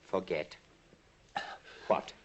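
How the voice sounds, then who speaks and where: An actor playing a vindictive Victorian poisoner with an English accent, sneering and taunting in a 1950s radio drama manner. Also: male voice, extremely slow, a little mellow, highs cut off. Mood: scornful. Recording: hissy 1950s radio recording.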